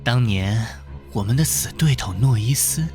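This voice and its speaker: A man playing a servile, calculating cult villain in an animated drama, theatrical and conspiratorial. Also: mid-range voice, moderate pace, soft background music, close to an everyday voice. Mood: sinister, scheming. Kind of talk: Chinese animated drama voice acting.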